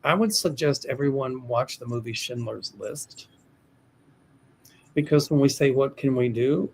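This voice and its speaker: An older man hosts a casual psychic livestream, his voice gravelly and fry-laden at a middling pitch. He rambles and restarts often. A faint hiss sits behind him. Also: measured pace. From United States. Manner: rambling and hesitant, with frequent restarts. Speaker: psychic host of a livestream show. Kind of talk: casual livestream chat, reading viewer questions.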